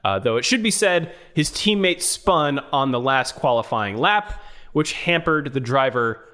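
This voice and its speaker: Lively, bright-voiced male podcast host. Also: mid-range voice, a little slow. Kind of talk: upbeat podcast presenting, reading lists with casual commentary.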